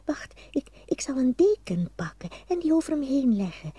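Woman as a soft, tiny storybook girl. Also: high-pitched, big pitch swings, moderate pace, breathy edge, dry recording, exaggerated voice. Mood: tender. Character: tiny gentle, tender-hearted girl.

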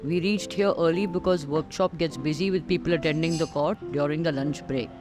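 A middle-aged woman with an Indian English accent giving scripted voice-over narration for a restaurant visit, measured and descriptive, with clear enthusiasm for the food. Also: low voice. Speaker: food vlogger host. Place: voice-over recorded separately from the restaurant scenes. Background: background music under the narration.

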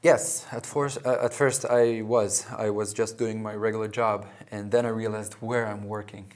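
A man in his thirties, from Russia and Israel, answering questions as a guest in a TV studio interview, halting with many fillers and restarts. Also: on the low side, fairly even intonation. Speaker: club president and guest. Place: small TV studio.

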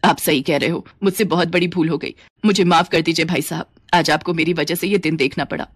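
A woman's voice: deep voice, steady tone, fairly quick, breathy edge, no echo, studio quality. A woman voicing a demanding middle-aged mother-in-law with a stern, instructing manner in a family story. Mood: remorseful.

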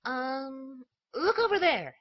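Woman doing a high-voiced evasive young ninja. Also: big pitch swings, slow pace, dry recording, full character voice. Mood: innocent, evasive. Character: soft-spoken, evasive masked young ninja, cartoon. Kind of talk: comedic anime parody dub. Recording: dull, dry lo-fi home dub.

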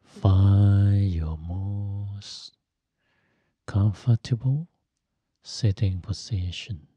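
Very slow, low-voiced man guiding meditation. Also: steady tone, husky, dry recording. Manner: gentle, instructive, phrase by phrase with long pauses. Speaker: Buddhist monk and meditation teacher. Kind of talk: guided meditation.

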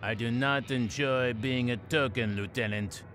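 A man's voice: medium pitch, a little slow, fairly bright tone, close to an everyday voice. An actor playing a bored, haughty alien military commander in his fifties, weary and disdainful, in a science-fiction audio drama. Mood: scornful. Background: music.

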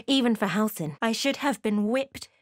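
An actress playing a watchful, introspective female fantasy adventurer, narrating her journey in measured, literary game prose. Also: fairly high pitch, lively intonation, no echo, studio quality, lightly acted. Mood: reflective. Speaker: video game character. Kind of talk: first-person past-tense scripted game narration.

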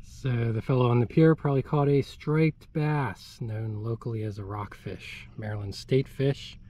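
A man's voice: mid-range voice, moderate pace, dark tone. Easygoing middle-aged man narrating outdoors. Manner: easygoing, chatty, reads signs aloud. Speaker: hiking vlogger. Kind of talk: casual walking narration. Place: outdoors on a farm by a bay.

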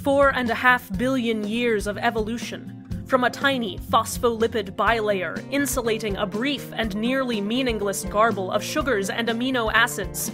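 A woman's voice: on the high side, normal speed, over music. A woman hosting a scripted community radio show, delivered in a cheerfully matter-of-fact way. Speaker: radio host. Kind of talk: scripted fan-fiction radio narration.

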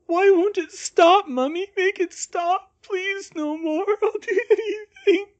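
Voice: very high-pitched, slow, dull audio, voice pushed up. A performer voicing a delirious young man who pleads in childlike terror with ragged, sobbing cries. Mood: tearful terror. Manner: babbling, begging, repeating pleas.

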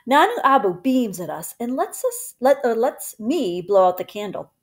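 Expressive woman reading aloud to children. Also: a little high, normal speed. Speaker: teacher reading a book aloud. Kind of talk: children's book read-aloud. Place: small room at home.

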